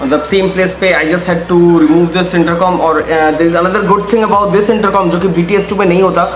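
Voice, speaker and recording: Fast-talking Indian man, high voice. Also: reverberant, poor audio. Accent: Indian English. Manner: excited, mixing English and Hindi mid-sentence. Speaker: vlogger reviewing a helmet intercom. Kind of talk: casual unboxing vlog.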